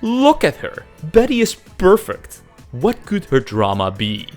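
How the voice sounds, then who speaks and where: A man's voice: sits high, huge pitch swings, slow, darkish tone, soft background music. A man narrating a scripted, comedic game development video with self-deprecating, exaggerated emphasis and some swearing. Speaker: host, amateur game developer narrating a devlog.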